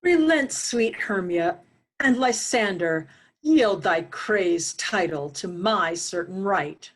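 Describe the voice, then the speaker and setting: A woman's voice: fairly low pitch, lively intonation, takes it slowly. A woman playing an insistent young male suitor in a Shakespearean table read.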